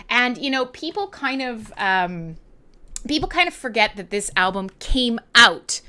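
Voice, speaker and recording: Female radio host, Canadian accent. Higher voice, very bright and forceful, animated, slangy, irreverent live chat.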